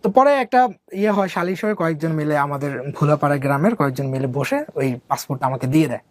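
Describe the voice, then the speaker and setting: A man's voice: a little high, lively intonation, fast talker, dry recording. A young man from Bangladesh telling his own life story in a casual, rambling way, with many fillers and English words mixed in.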